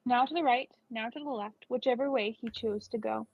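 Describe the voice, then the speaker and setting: High voiced lady reading a kids story, kinda husky, dull dry audio. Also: fairly quick. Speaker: storybook reader. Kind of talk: scripted fairy tale read-aloud.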